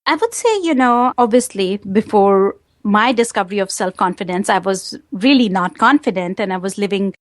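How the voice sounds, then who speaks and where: A woman from India with an Indian accent, answering interview questions earnestly and in a measured way, with hesitant fillers. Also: mid-range voice, dry recording, clean studio sound. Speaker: guest, wellness coach.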